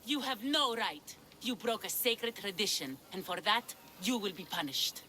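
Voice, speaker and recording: A woman's voice: medium pitch, lively intonation, a little slow, pushed and bright, a little hiss or hum. A woman playing a haughty, severe ancient Greek priestess in a video game, speaking formally. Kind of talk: video game character acting.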